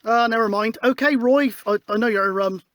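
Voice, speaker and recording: A man's voice: very high-pitched, fairly quick, background noise. A man chatting casually and putting out calls as a CB radio operator, in an informal, matey way. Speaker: CB radio operator leading an on-air event. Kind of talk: casual radio calling and chat. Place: outdoors on a hillside in Wiltshire, at a CB radio set.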